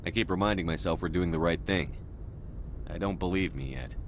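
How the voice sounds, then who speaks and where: A man playing a resolute, dry-witted military commander in a dramatic video game, with a measured delivery. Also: sits low, background noise. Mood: dry, intimate. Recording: loud music over the voice.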